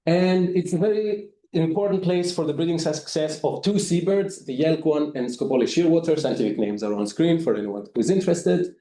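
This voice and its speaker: A man giving a presentation as a volunteer, speaking haltingly with frequent fillers. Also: a little high, mellow, echoey room, low-quality recording. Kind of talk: presentation talk.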